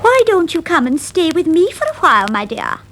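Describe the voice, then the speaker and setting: High-pitched, gushing town mouse. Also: female voice, wildly swooping pitch, fairly bright tone, full character voice. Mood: coaxing. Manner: gushing, brisk, exclamatory. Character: bossy, boastful female town mouse, lively and worldly. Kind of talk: acted children's storybook voice. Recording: noisy 1960s vinyl record.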